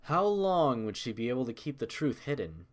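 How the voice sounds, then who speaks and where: A man reading scripted story narration in a measured, dramatic manner. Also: mid-range voice, sing-song.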